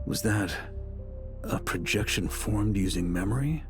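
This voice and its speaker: A seasoned knight commander played by a man speaks in a low, measured voice with wry self-assurance. It is composed video game dialogue with music underneath. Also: natural voice. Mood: pondering.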